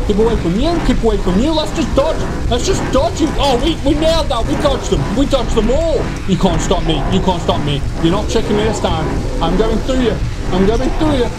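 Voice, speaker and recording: A man with a high-pitched voice commentates a horror game playthrough. He is excitable and panicky, and tends to repeat short phrases. Loud game music plays behind him throughout. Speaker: gaming video creator. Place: indoor home gaming room.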